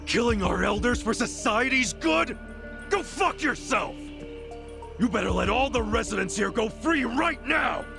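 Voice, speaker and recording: A man playing a gutsy, righteous, hot-headed low-ranking yakuza in a game cutscene, blunt and streetwise in his talk. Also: animated, unhurried, vocal fry, music in the background, exaggerated voice. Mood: outraged. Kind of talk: dramatic game cutscene voice acting.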